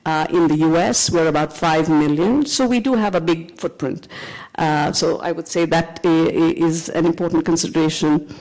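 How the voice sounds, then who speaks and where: North indian woman on a panel, gravelly low-ish voice, lots of uhs, careful and steady. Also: rough recording. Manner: measured, hesitant with many fillers. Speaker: panelist, attorney. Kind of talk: panel discussion remarks.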